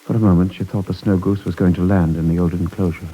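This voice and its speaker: A British man narrating a solemn wartime tale in measured, literary style for a 1950s radio drama. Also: sits low, level tone, dark tone, husky, dull audio. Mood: solemn, tender.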